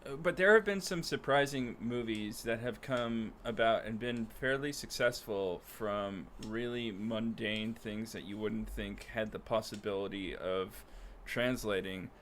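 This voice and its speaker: A man's voice: on the low side, unhurried, hardly any pauses, a bit bright, a little hiss or hum. A man co-hosting a podcast, chatting casually and thinking aloud about games and films. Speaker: podcast co-host.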